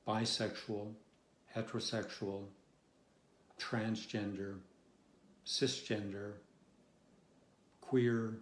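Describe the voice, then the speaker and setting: Male congregational leader, lowish voice, very unhurried solemn delivery of a worship welcome. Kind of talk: measured church welcome, read aloud. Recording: roomy, echoing sound.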